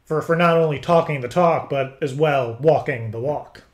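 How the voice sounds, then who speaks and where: A man talking casually on a phone call as if interviewing someone, in a rambling, stammering, halting way. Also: fairly high pitch. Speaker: prank caller.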